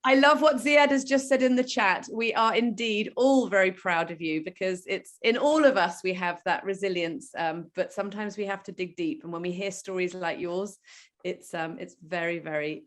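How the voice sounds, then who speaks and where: A woman moderating an online event on a video call, praising a speaker effusively and often trailing off softly. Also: lowish voice, normal speed. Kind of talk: enthusiastic video call hosting.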